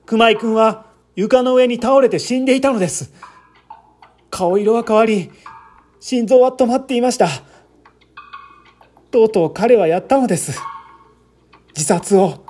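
A man's voice: high-pitched, lively intonation, measured pace, pauses often, darkish tone. A man reading the part of an earnest, anxious man who politely recounts a friend's death in a dramatic radio-story style. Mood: agitated, regretful.

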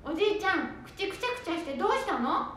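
A woman playing a gentle, polite young daughter-in-law in a very echoey room. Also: very high-pitched, sing-song, fast talker, slight background noise, exaggerated voice.

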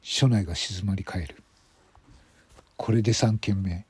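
A man narrating a scripted mystery radio drama in measured, separate phrases. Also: low-pitched, a little mellow, husky, no echo.

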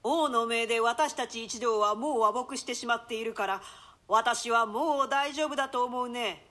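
A woman playing a scheming male royal villain in a classical tragedy, with measured, mock-courteous, archaic speech. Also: a little high, sharp tone. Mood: coolly decisive. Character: scheming royal brother, feigning piety, sardonic villain. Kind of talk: theatrical classical Japanese play reading.

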